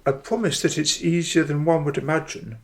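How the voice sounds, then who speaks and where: Earnest workshop poet played by a man, precise, slightly high pitch, gravelly creak. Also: fairly even intonation, brisk, lightly acted. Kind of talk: radio drama acting.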